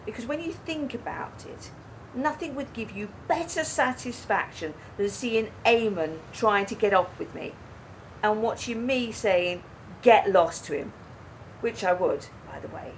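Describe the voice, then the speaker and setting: A woman's voice: fairly high pitch, animated, measured pace, a little hiss or hum, poor audio. Actress as a wry, defiant woman in radio drama. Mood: sharp, challenging. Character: adult woman, wry, sharp, defiant, confessing to her partner. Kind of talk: naturalistic radio play acting, dialogue and monologue.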